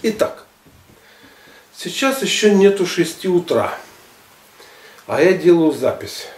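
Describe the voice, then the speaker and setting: A man in his sixties telling a story to his viewers in a colloquial, expressive way, repeating words for emphasis. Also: sits high, takes it slowly. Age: 60s. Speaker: health and gymnastics video blogger. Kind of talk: casual storytelling monologue to viewers. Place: living room at home, indoors. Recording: echoey room.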